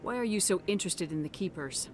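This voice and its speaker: A woman's voice: on the low side, expressive, quick pace, music in the background, close to an everyday voice. A woman voicing a confident, principled military commander in a video game, direct and probing.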